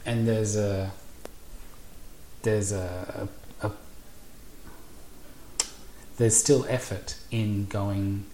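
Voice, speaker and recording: Deep, dark voice in a roomy space. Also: little pitch movement. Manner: halting, restarts, reflective questions. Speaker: host. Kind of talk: podcast interview. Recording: roomy sound with faint background noise.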